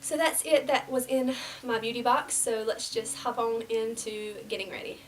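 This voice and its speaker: A young woman in her twenties, slightly nasal from a cold, chatting casually through a get-ready-with-me beauty vlog and dropping to soft half-whispers as she works. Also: a little high, moderate pace, distant mic, rough recording. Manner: casual and rambling, drops to near-whispers while applying makeup. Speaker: beauty vlogger. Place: small indoor room before a plain backdrop.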